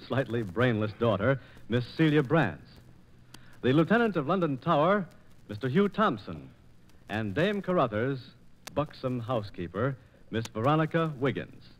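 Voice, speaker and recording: A man's voice: mid-range voice, very animated, measured pace. A man announcing a scripted 1940s radio programme in a grandly formal, theatrical manner. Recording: muffled 1940s radio transcription disc.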